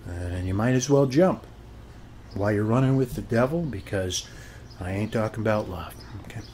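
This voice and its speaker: A man's voice: fairly low pitch, normal speed, a little mellow, a little hiss or hum. An American man performing an ASMR roleplay as a chatty, boastful suburban neighbor, rambling in a folksy way and often checking in with the listener.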